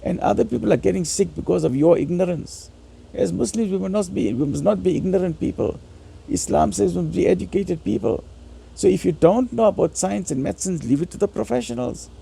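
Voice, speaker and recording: Male religious lecturer, husky and heavily creaky, emphatic, informal teaching style that hammers points by repetition. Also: high voice, brisk, warm tone, rough recording. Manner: didactic, emphatic, conversational with repeated phrases.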